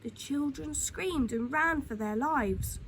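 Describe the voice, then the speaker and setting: A woman reading a children's story aloud in an expressive, dramatic way, dropping into hushed tones for suspense. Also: high-pitched, slow pace, rough recording. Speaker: storytime reader. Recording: clear background noise.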